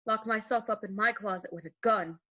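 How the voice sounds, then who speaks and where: A woman's voice: medium pitch, brisk, pushed and bright. Woman playing a fearful, folksy old neighbour, muffled sound. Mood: alarmed warning. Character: fearful, gossipy old woman neighbour calling from her porch. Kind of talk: 1940s radio play acting.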